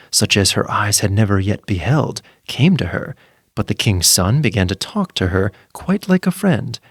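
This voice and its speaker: A man's voice: fairly low pitch, vocal fry, husky, dry recording, studio quality. A man narrating a scripted fairytale as a storyteller. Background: soft background music.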